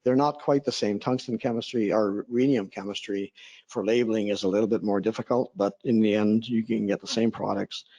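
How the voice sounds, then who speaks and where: A man's voice: mid-range voice, a little mellow. A man giving a technical conference lecture over a video call, with a droning voice, often hesitating with fillers and restarts.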